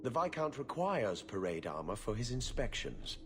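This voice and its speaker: An actor playing a formal, dutiful official in a video game, speaking stiffly. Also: male voice, medium pitch, very animated, moderate pace, lightly acted. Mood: prim, disapproving. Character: formal, dutiful male official serving a ruler. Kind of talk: video game cutscene acting. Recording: game audio with clear background noise.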